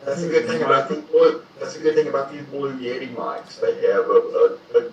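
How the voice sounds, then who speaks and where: A man's voice: medium pitch, animated, a little hiss or hum. Wisecracking man on an echoey call. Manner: wisecracking, quick asides. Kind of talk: casual chat. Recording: echoey, distant, dull call audio.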